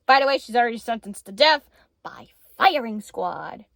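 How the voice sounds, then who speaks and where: Lively high-pitched young woman. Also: vocal fry, dry recording, studio quality. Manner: halting, with fillers and restarts. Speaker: plush video creator voicing her characters. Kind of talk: casual plush roleplay chat.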